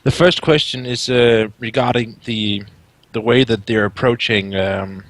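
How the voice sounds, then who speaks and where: A man's voice: mid-range voice, fairly even intonation, a little slow, dry recording. A man from Denmark with a Danish accent, asking questions hesitantly and searching for words.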